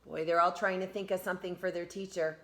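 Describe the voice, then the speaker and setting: A woman with a bright, forward voice reads a picture book in the manner of an elementary teacher. She speaks simply and encouragingly to young children, adding explanations as she goes. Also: on the low side, level tone, fast. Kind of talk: children's read-aloud with explanatory asides.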